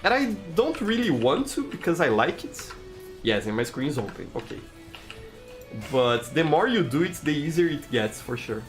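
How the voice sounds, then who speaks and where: A man's voice: fairly high pitch, very animated, moderate pace, soft background music. A man from Brazil with a Brazilian Portuguese accent, a game streamer chatting casually and reading chat aloud, friendly, with stammered restarts. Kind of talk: casual live-stream chat while playing.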